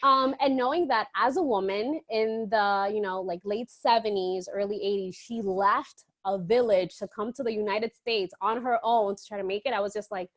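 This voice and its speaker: A woman's voice: medium pitch, moderate pace, few pauses. A woman from the Dominican Republic chatting as an interview guest, telling stories casually and quoting people as she goes. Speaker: podcast guest, entrepreneur.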